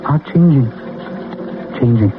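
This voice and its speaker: A man's voice: mid-range voice, slow pace, noisy background, natural voice. A man playing a solemn, all-knowing mystical arbiter of fate in a theatrical radio drama. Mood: solemn, portentous. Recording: muffled old radio broadcast, music behind.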